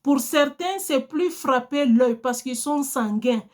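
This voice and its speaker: A woman's voice: high-pitched, slow, darkish tone. A woman whose first language is Portuguese, preaching a sermon in an earnest, exhorting way, addressing her listeners directly.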